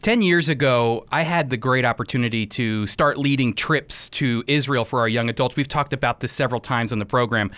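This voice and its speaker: A man's voice: mid-range voice, fairly quick, hardly any pauses, fairly bright tone, no echo, faint music behind. An American man hosting a radio program, explaining and commenting in an emphatic, explanatory way. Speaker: radio host and teacher.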